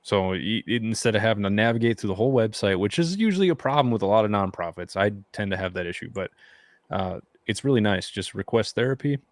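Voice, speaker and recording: A man's voice: lowish voice, lively intonation, dry recording. A man hosting a veterans' podcast, chatting casually with lots of fillers. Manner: fast, loose talker with many fillers. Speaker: podcast host. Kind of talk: casual interview chat.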